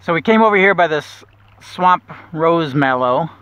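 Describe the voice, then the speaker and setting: A middle-aged man guiding a kayak nature trip, explaining plants in a relaxed way with small restarts, recorded outdoors on a lake. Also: on the high side, measured pace, clear, resonant tone. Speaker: naturalist guide. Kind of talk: outdoor nature walk narration. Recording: dull lo-fi outdoor audio.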